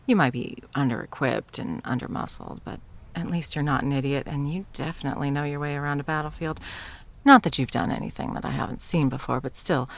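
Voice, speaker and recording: Woman playing an arrogant, low-voiced, gravelly sorceress tyrant. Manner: taunting, theatrical, condescending. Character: arrogant undying sorceress tyrant, mocking, easily bored, playful. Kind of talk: ASMR roleplay audio drama.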